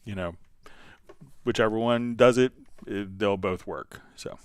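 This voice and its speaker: A man with a lowish, smooth and clean voice hosts a casual live stream. He reads out questions and explains his answers informally, wandering into asides. The sound is close and dry. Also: measured pace, no echo. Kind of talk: casual unscripted Q&A talk, reading out viewer questions.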